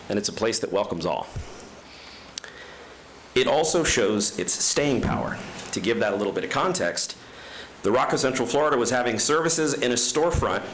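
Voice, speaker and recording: A man's voice: mid-range voice, fairly bright tone, slight background noise, rough recording. A man giving an earnest, prepared public comment at a county meeting. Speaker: resident speaking at public comment. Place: county commission chamber.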